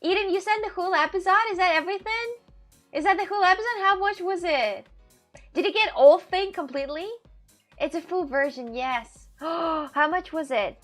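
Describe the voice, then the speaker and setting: A woman's voice: very high voice, moderate pace, a bit bright, faint music behind. A woman from Russia in her twenties, chatting casually and animatedly on a live stream, often repeating herself.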